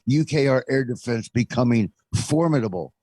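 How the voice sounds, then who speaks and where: A man's voice: mid-range voice, expressive, moderate pace, warm tone, husky, dry recording. A middle-aged American man hosting opinionated talk radio, speaking in an exasperated, emphatic way full of rhetorical questions. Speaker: talk show host.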